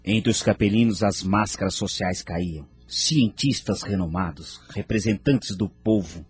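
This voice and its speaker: A man playing a noble spirit mentor in an audio drama, narrating in a measured, formal, grave manner. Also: lowish voice, breathy edge, faint music behind. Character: Inumar, grave noble male spirit mentor recounting history.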